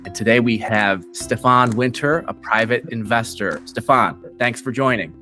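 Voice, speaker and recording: A man hosting an interview show, giving a brisk, upbeat introduction and asking the guest questions. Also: medium pitch, sharp tone, soft background music. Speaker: interview host.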